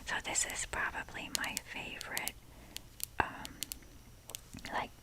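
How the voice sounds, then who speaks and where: A young adult woman whispering in a breathy, casual, rambling ASMR style as she shows things off. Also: low-quality recording. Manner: unhurried, informal, rambling. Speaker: ASMR video creator.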